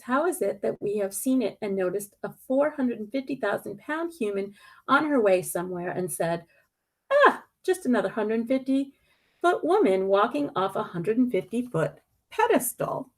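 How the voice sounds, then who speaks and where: A woman reading a picture book aloud expressively, with deliberate dramatic emphasis and pointed pauses. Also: on the high side, very animated.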